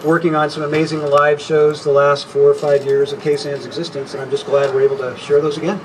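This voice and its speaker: A man's voice: fairly high pitch, level tone, a little fast. A man in his sixties casually reminiscing to an audience, acting out bits of old conversations. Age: 60s. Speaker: former radio intern, panel guest. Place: public event with audience. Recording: distant event mic, background noise.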